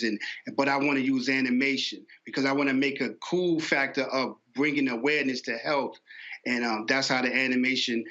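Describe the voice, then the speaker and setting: A man's voice: mid-range voice, fairly bright tone, highs cut off. A man being interviewed as an animation entrepreneur, explaining his business conversationally.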